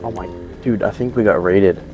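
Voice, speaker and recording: A man's voice: fairly low pitch, normal speed, phone-quality sound, faint music behind, lightly acted. A man playing a jittery, impatient survivor who talks in casual, sweary slang in an improvised video game roleplay. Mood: alarmed. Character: jittery, impatient male survivor eager to leave.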